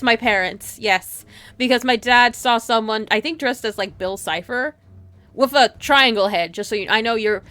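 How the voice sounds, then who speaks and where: A woman hosting a game livestream, chatting casually and reading story narration aloud in an animated, giggly way. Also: a little high, dry recording. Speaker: livestream host playing a visual novel. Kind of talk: casual stream chat and dramatic read-aloud.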